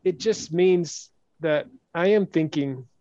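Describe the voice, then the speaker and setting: Soft-spoken man hosting a podcast. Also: a little high, slow, dry recording, highs cut off. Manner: soft-spoken, hesitant with restarts. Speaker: podcast host. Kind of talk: casual interview chat.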